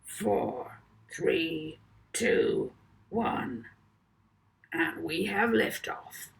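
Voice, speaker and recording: A woman voicing a commanding male space ground controller, booming and clipped, in an audiobook. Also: lively intonation, drawn-out words, gravelly, full character voice. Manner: booming, clipped countdown and radio-call delivery. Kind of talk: audiobook character voice.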